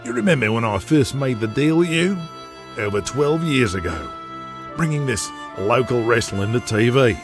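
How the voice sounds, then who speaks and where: Give this audio drama , acted out by a male narrator, a domineering Australian tycoon and big-game hunter. He is boastful, foul-mouthed and intimidating, pitched above the narrator's usual talk. Music plays clearly behind him. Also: very animated, normal speed. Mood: commanding, scornful.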